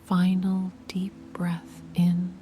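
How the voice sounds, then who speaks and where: A woman whispering soft, soothing guided meditation instructions. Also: lowish voice, monotone, drawn-out words. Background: music.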